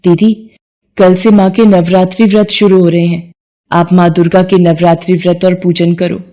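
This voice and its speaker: Casting: woman voicing a kind, supportive young friend for a moral story, soft tone, reassuring. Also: on the low side, level tone, normal speed, natural voice. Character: kind, devout young female friend, encouraging.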